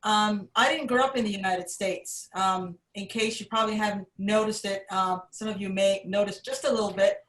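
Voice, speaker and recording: A woman from Jamaica with a Jamaican accent, speaking on a panel and pausing often with ums. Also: mid-range voice, steady tone, a bit bright. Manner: hesitant, many ums. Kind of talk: panel discussion. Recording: roomy echo.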